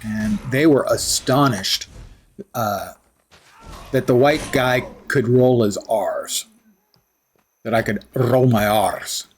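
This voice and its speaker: A man's voice: medium pitch, takes it slowly, pauses often, slight background noise. A man chatting casually and rambling while he livestreams a game. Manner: rambling, self-mocking.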